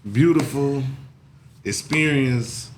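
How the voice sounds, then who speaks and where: A man's voice: mid-range voice, slow pace, slight background noise. A man in his forties hosting a casual talk show, rambling and laid-back, with "you know what I'm saying" as a frequent filler. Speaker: podcast host. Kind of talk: casual chat.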